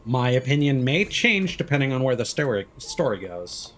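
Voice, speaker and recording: A man's voice: mid-range voice, very animated, moderate pace, a bit bright. A man streaming a video game with casual, musing and mildly critical live commentary. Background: video game music.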